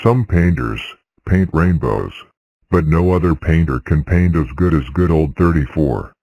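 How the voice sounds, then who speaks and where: A man with a very deep voice reads cartoon lines flat and steady. The recording is dry and close. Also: a little slow, no echo, muffled recording. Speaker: voice of number characters. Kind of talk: fan cartoon voice-over.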